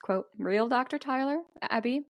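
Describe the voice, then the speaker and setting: A woman's voice: on the high side, moderate pace, no echo. A woman co-hosting a podcast, retelling a story in a casual, conversational way with some dramatic emphasis. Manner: informal, conversational, dramatizes plot details. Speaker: podcast co-host.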